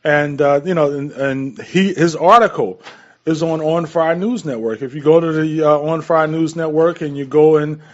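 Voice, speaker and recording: A man's voice: on the high side, fairly even intonation, normal speed, dull audio. An American man co-hosting a radio show, talking casually and earnestly in short, repetitive phrases. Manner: repetitive, piecing points together in short phrases.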